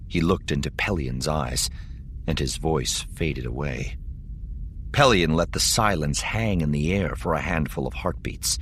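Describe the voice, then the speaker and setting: A man's voice: a bass voice, a little hiss or hum. A man reading dramatic scripted fiction as an audiobook narrator.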